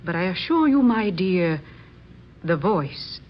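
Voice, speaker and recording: An actress playing a haughty, selfish wife and séance medium, measured and condescending in old-time radio drama style. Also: female voice, on the low side, big pitch swings. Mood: lofty defiance. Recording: muffled, faintly noisy old radio recording.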